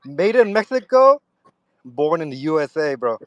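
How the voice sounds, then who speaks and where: A man's voice: fairly high pitch, very animated, moderate pace, no echo, dull audio. A man in his twenties from the United States, calling in to a live chat and talking in an eager, slangy way. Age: 20s. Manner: eager, slangy, says bro a lot. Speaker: caller. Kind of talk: casual chat.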